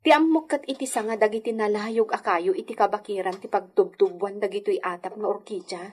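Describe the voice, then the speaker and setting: A woman's voice: mid-range voice, brisk, darkish tone, husky, lightly acted. A woman playing a young well-born lady in a radio drama, with acted lines. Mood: sweet, curious.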